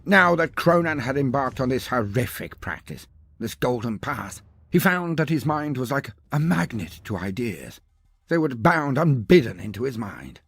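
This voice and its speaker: A man reading scripted fantasy narration as a storyteller, in a pompous, wordy manner with dramatic pauses. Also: medium pitch, sing-song, creaky, a bit bright, slightly rough.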